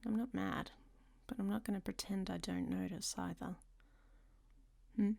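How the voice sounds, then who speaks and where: A woman's voice: medium pitch, very animated, moderate pace, creaky, mellow, full character voice. A woman playing a worldly, flirtatious traveler in an audio roleplay, speaking to the listener in a teasing, often half-whispered way.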